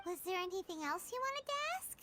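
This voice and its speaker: A woman's voice: very high-pitched, lively intonation, fairly quick, over music. A woman voicing a tiny cheerful floating fairy girl, a perky childlike companion in a video game. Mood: perky, chatty. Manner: perky, speaks of herself in third person.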